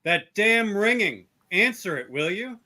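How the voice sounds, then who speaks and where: A man's voice: sits high, big pitch swings, measured pace, sharp tone, exaggerated voice. A man playing an irritated starship officer in an amateur radio play, snappish and insistent.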